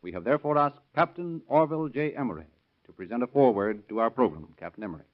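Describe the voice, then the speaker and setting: A man's voice: mid-range voice, expressive, quick pace. A man doing scripted narration as a 1930s radio announcer. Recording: muffled vintage 1930s radio recording, loud music.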